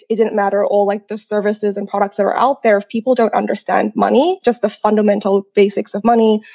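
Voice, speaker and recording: Mellow-voiced young woman, chatty guest. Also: medium pitch. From Hong Kong. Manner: chatty, many fillers and restarts. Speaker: guest entrepreneur. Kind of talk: casual interview answers. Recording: slightly dull lo-fi sound.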